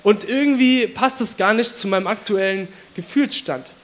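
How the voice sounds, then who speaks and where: A man with a hoarse voice from a cold, giving a casual, chatty sermon to his congregation with many fillers. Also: high voice, normal speed, a bit bright. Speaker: preacher.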